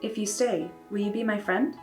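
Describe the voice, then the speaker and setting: A woman's voice: moderate pace, soft background music, natural voice. A woman voicing a young girl who pleads insistently to keep a monster. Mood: coaxing. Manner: high-pitched, pleading and insistent.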